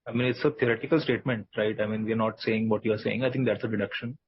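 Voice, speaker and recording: A man's voice: fairly low pitch, steady tone, quick pace, slightly rough, dry recording. A man, a finance executive, giving guarded, matter-of-fact answers to analysts' questions. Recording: dull, lo-fi conference call audio.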